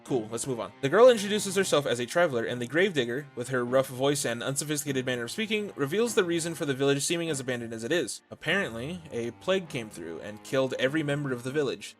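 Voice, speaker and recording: A man delivering scripted commentary on a visual novel as a video essayist, with expressive, wry asides. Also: medium pitch, fast, hardly any pauses, soft background music.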